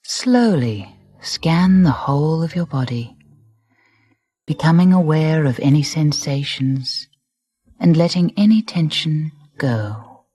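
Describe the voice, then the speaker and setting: A woman narrating a guided meditation in a soft, breathy voice, soothing and deliberate, with gentle phrasing and pauses. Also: deep voice, expressive. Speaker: relaxation guide. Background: music.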